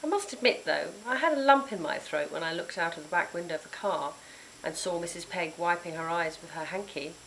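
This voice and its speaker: A woman reads a children's story with dramatic emphasis. Their voice is fairly low and moves up and down in a lively way, with a faint hiss on the recording. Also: fairly quick, rough recording. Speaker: author reading their own book. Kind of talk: expressive children's storybook narration.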